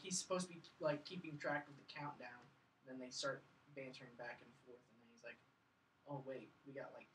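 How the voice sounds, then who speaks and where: Bright-voiced man, set back from the mic. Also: fairly high pitch, distant mic, low-quality recording. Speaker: film review podcast panelist. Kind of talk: casual film review chat. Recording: off-mic with faint background noise.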